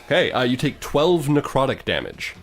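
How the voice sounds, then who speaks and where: A man narrating a tabletop game as game master, improvising in a halting way. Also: medium pitch, sing-song.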